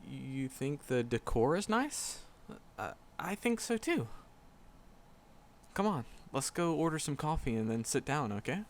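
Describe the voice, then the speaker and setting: Man acting as a slow-talking, shy magic professor. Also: medium pitch, very animated, a little hiss or hum. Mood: nervous, friendly. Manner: stammering, hesitant, repeats words, flustered. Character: shy, nervous young male magic professor, earnest and skittish. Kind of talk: roleplay audio drama, spoken to the listener.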